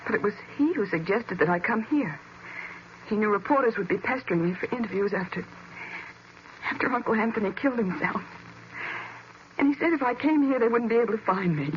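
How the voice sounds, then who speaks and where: A woman playing a hesitant, trusting young niece with an American accent, halting and fragile in 1940s radio acting style. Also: medium pitch, lively intonation, lightly acted. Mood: uneasy. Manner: halting, faltering. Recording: muffled, hissy old radio recording.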